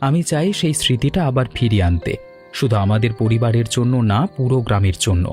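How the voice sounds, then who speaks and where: A man's voice: medium pitch, lively intonation, quick pace, a little mellow, close to an everyday voice. A man voicing an earnest, devoted grown son in cartoon dialogue, with music behind him. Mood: earnest, reflective.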